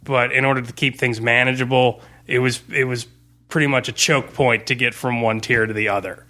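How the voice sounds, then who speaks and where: A man's voice: medium pitch, steady tone, a little fast, pushed and bright. A man casually talking through a tabletop game campaign he ran, as its game master. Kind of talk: casual discussion, looking back on a game campaign.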